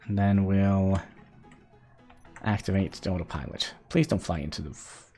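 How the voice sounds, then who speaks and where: A man's voice: low voice, moderate pace, warm tone, soft background music. A man from Norway, chatting casually to his live-stream viewers while he plays, laughing often. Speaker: game streamer.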